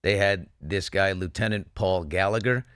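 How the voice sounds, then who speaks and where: A man's voice: sits low, normal speed, fairly bright tone, dry recording, studio quality. A man hosting a true-crime news discussion, speaking in an emphatic, opinionated way and posing rhetorical questions. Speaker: talk show host.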